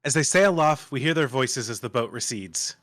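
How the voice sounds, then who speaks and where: A man's voice: mid-range voice, lively intonation, moderate pace, fairly bright tone, no echo, clean studio sound. A man reading screenplay stage directions aloud as the narrator of a table read.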